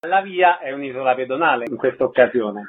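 A man's voice: medium pitch, expressive, fairly quick, phone-quality sound. A man hosting a radio interview, giving introductions and short prompting questions.

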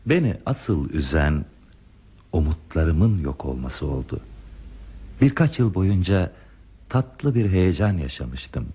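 A man's voice: lowish voice, husky, full character voice. An actor playing a bashful, wistful man who recalls a lost youthful love in a hesitant, reflective radio drama style. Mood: melancholy. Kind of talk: radio theatre acting. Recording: dull radio drama recording with music.

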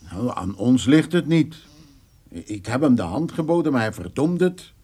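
An actor playing a remorseful, awkward older farmer in a radio drama, speaking haltingly. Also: male voice, medium pitch, very animated, mellow, breathy edge. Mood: defensive. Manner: hesitant, halting. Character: remorseful, awkward older male farmer visiting an old neighbour. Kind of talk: naturalistic radio drama dialogue.

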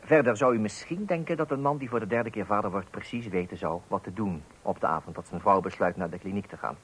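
A man's voice: lowish voice, fast talker, slightly rough. An actor playing a put-upon father of three, chattily narrating to listeners in a mock-solemn radio comedy style. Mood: wry, confiding. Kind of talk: comic narration addressed to listeners. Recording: old radio play recording.

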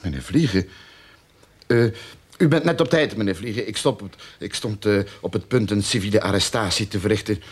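An actor playing a sly, greedy detective in a radio drama, with a mocking, theatrical, mock-polite manner. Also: male voice, lowish voice, a little fast, breathy edge.

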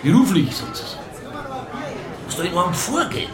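An actor playing a world-weary, irreverent police inspector with a Bavarian accent, musing colloquially in a radio crime drama. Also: male voice, sits high, very animated, fairly quick, echoey room, music in the background, crowd noise.

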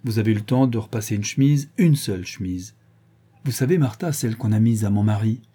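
A man voicing a stern, sharp-witted middle-aged woman who questions two servants and accuses one of them. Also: lowish voice, a little fast, husky. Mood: probing. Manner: cold, probing, relentlessly accusing.

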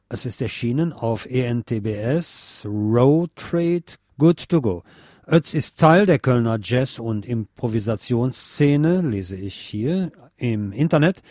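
A man's voice: medium pitch, a little mellow, dry recording, clean studio sound. A man hosting a radio music show in a conversational, wry and playfully wordy way. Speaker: radio host presenting new music.

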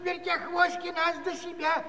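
An actor playing an aggrieved, eager Cossack villager in a musical comedy, halting and excitable, with a rustic southern Russian dialect. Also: male voice, extremely high pitch, a little hiss or hum, exaggerated voice. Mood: flustered, complaining. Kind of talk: theatrical musical comedy dialogue. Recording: reverberant studio recording with crowd.

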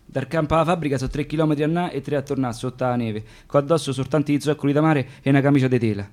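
An actor playing an agitated, indignant deported forced labourer with a Roman accent, in a radio drama. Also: male voice, mid-range voice, very fast, darkish tone, close to an everyday voice. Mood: weary, matter-of-fact.

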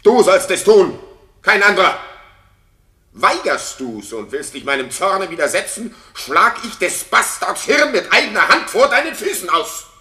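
A jealous, suspicious king with a very sharp, forceful voice that swings widely in pitch. He declaims commandingly in old-school radio drama style, in a roomy, echoing space. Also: sing-song, measured pace, full character voice. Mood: furious, commanding. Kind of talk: classic German radio drama stage acting.

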